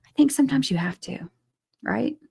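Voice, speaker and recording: A woman's voice: on the high side, expressive, normal speed, gravelly, a little mellow, breathy edge. A woman hosting a casual livestream, reading viewer comments aloud and reacting to them in a chatty way.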